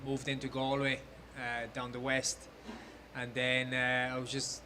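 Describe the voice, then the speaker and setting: A young man from Romania, a fighter introducing himself in a relaxed, casual way. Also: mid-range voice, a little slow, a little hiss or hum. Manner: relaxed, casual, laid-back slang.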